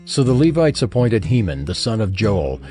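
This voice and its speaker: A man narrating scripture in a formal, deliberate scripted style. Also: fairly low pitch, very animated. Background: music with plucked strings.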